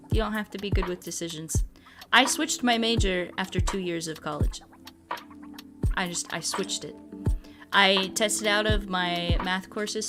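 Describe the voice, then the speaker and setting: A young adult woman, chatting casually and musingly as she hosts a livestream and answers viewers. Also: mid-range voice. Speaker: livestream host. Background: background music.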